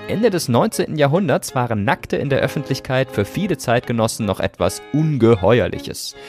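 A man's voice: mid-range voice, expressive, moderate pace, over music. A man hosting a podcast, presenting scripted narration with some conversational phrasing. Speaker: podcast host.

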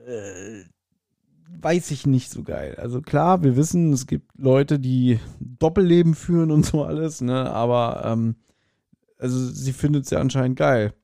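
Mellow-voiced man chatting casually, dry recording. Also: medium pitch, measured pace, gravelly, no echo. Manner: colloquial, joking exaggeration. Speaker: podcast host. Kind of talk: casual chat reviewing an audio drama.

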